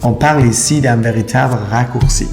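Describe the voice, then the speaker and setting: Gravelly-voiced man. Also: mid-range voice, steady tone, fairly quick, over loud music. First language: Persian and Turkish. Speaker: immigration consultant. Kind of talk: explainer video to camera.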